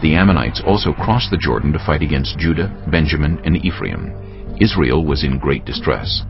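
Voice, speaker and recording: A man narrates scripture in a deep, gravelly voice with dramatic rises and falls. The recording is slightly dull, with faint music underneath. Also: lively intonation, moderate pace.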